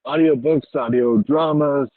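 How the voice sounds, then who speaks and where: A man's voice: mid-range voice, measured pace, no room sound. A man hosting a podcast in a casual conversational style, agreeable and affirming toward his guest, on a slightly lo-fi track. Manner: affirming, brief agreeable responses. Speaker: podcast host.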